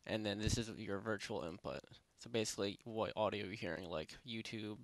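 A man narrating a software tutorial in a casual, unscripted, rambling way, speaking informally with lots of filler phrases. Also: lowish voice, a little fast, no echo. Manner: rambling, informal, repeats "basically" and "you know". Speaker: tutorial presenter. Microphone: close headset microphone.

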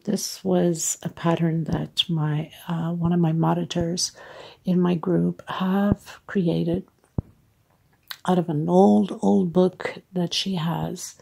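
A middle-aged woman with a congested, stuffed-up voice hosts a chatty crochet tutorial at home and thanks her viewers warmly. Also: fairly low pitch, flat delivery, takes it slowly. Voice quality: husky, gravelly voice. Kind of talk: casual step-by-step craft tutorial. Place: at home, table with yarn.

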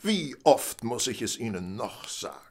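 Husky, animated, self-assured master detective. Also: male voice, mid-range voice, moderate pace. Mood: emphatic, lecturing. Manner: didactic, theatrical, precise deduction. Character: brilliant, self-assured male English detective, lecturing his friend. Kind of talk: radio drama acting in German.

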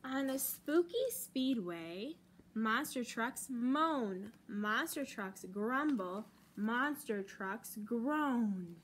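A young American woman reading a picture book aloud to children as a storytime host, with deliberate, dramatic emphasis on key words. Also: a little high, animated. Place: library children's room, indoors.

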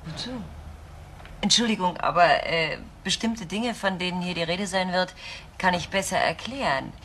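A woman's voice: on the low side, normal speed, fairly bright tone, a little hiss or hum, lightly acted. An actress playing a guarded, protective woman in a German radio crime drama, speaking insistently and articulately. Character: controlling, protective woman shielding a frightened younger friend.

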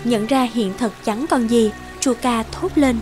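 Female storyteller, higher voice, scripted children's fairy-tale narration, measured delivery, clear background music. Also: a little mellow.

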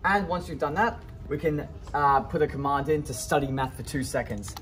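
A teenage man from Sydney introducing himself and explaining a project in a computer lab, in a relaxed, matter-of-fact way. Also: on the high side, normal speed. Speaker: student camper. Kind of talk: casual self-introduction.

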